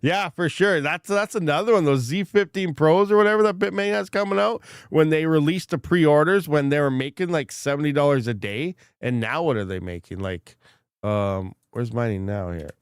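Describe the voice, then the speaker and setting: Congested male streamer, frank and rambling, smooth clear tone, close dry mic. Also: fairly high pitch, normal speed, dry recording. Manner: rambling, frank, many fillers and restarts. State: congested, recovering from lost voice. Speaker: crypto mining livestream host. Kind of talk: casual livestream chat reading comments.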